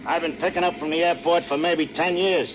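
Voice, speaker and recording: An actor in a radio drama playing a worldly-wise, folksy big-city cabbie with slangy, familiar talk. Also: male voice, on the high side, steady tone, brisk, close to an everyday voice. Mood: chatty, knowing. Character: chatty, worldly-wise male big-city cab driver. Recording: muffled, noisy old radio drama recording.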